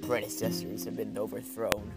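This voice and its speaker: A man voicing Leonardo, an aging, ruthless mafia boss who talks in a slow, grumbling, theatrical way. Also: on the low side, little pitch movement, loud music behind the voice, close to an everyday voice. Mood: gruff.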